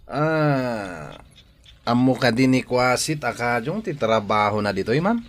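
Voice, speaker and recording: A man with an Ilocano accent acting an eager, polite working man in a radio drama, with a husky voice. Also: medium pitch, sing-song, unhurried.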